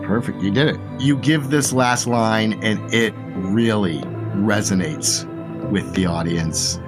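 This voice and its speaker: A man's voice: fairly low pitch, slow, music in the background. A man narrating a tabletop fantasy game as game master, speaking conversationally to his players.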